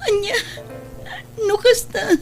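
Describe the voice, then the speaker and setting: A woman's voice: extremely high pitch, steady tone, takes it slowly, vocal fry, exaggerated voice. A woman with a Filipino Ilocano accent playing a battered, remorseful mother, tearful and pleading in a melodramatic radio drama. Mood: tearful, distraught. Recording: music bed under radio drama dialogue.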